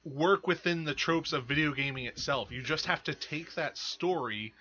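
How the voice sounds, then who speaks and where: A man's voice: a little high, normal speed, pushed and bright, muffled recording. A man co-hosting a podcast in casual chat, arguing his opinions with a wry manner. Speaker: podcast co-host.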